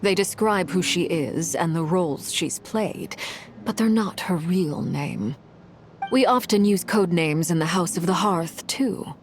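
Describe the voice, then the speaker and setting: Commanding game character, adult woman, lowish voice, slow measured pace, formal, calculating yet caring. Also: expressive, a little hiss or hum. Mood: reflective, advising. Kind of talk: video game cutscene voice acting.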